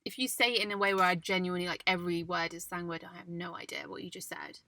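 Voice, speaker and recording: A woman's voice: fairly low pitch, flat delivery, quick pace, sharp tone. A woman from England co-hosting a casual chat in a parked car, giggly and chatty. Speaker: podcast co-host.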